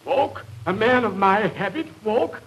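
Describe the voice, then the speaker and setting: A cowardly, pompous British gentleman in an old radio play. The voice is very rough and pitched high, swooping about as he blusters and stammers. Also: lively intonation, a little slow, heavily acted, poor audio. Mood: panicked. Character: pompous, cowardly, greedy military-posing English gentleman. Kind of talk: 1950s radio drama acting. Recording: hissy 1950s radio recording, rough sound.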